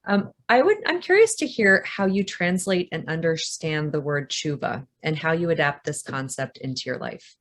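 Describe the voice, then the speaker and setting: A woman's voice: sits low, animated, moderate pace, few pauses. A woman hosting a video call interview, encouraging her guest and asking reflective questions. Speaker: host.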